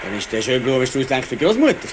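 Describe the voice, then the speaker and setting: A man playing a smooth-talking, shifty train passenger in a Swiss German children's radio play. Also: medium pitch, very animated, quick pace, noisy background, close to an everyday voice. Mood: oily, prying.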